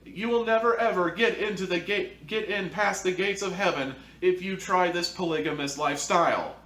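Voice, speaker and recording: A man's voice: sits high, moderate pace, fairly bright tone, voice pushed up. A man voicing an indignant, pious wife who scolds stiffly as if reading off a card. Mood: stiff, scolding. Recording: echoey room, distant from mic.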